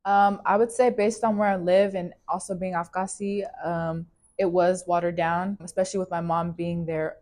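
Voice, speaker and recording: A young woman from California speaks in a low, fairly flat voice. She talks at an easy pace and leans on casual fillers as she tells her story in an interview. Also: normal speed, hardly any pauses. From California, USA. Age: young adult. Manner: relaxed, with many fillers like "like" and "you know".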